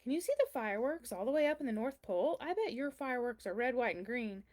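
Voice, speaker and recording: A woman's voice: a little high, animated, quick pace, darkish tone, close to an everyday voice. A woman voicing a curious, earnest seven-year-old girl reading out her letters in a children's storybook, eager and full of questions. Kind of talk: children's storybook read-aloud.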